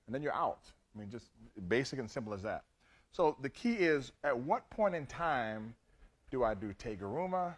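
An older man teaches judo in a big matted gym, walking through each technique out loud and marking every step with a sharp "boom". His voice sits at an ordinary middle pitch. It is picked up by a camera across the room. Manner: punchy, repeats "boom" between steps. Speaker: judo coach. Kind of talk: demonstrating techniques to students. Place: large judo gym with mats.

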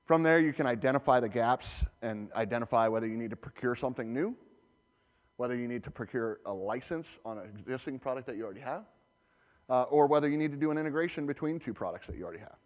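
A man's voice: medium pitch, expressive, fast. Conversational man presenting in a hall. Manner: conversational, many fillers and restarts. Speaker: conference presenter, security architect. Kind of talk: conference talk with slides. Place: large conference hall. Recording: reverberant hall.

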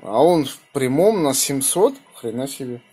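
A man with a slightly husky voice, chatting casually in slangy speech and giving call signs as an amateur radio operator, recorded indoors on a phone. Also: mid-range voice, animated, moderate pace, mellow. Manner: colloquial, slangy. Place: small room indoors beside radio equipment. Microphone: phone held near the radio.

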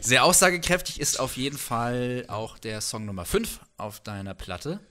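A man's voice: medium pitch, very animated, moderate pace, bright, forceful tone. A man in his thirties hosting a casual interview, speaking into a handheld microphone in a living room. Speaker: interviewer, host.

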